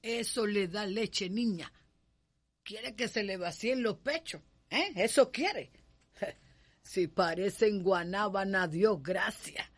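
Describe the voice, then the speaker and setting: An actress with a Cuban accent playing a bossy, affectionate, folksy nursemaid in a radio soap opera. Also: female voice, mid-range voice, takes it slowly. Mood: coaxing, scolding. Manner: coaxing, folksy, scolding.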